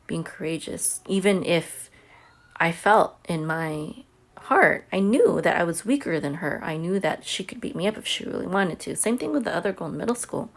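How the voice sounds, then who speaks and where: A woman's voice: lowish voice, creaky. A homeschooling mother telling a personal story to camera in a chatty, earnest way. She says she has a big voice.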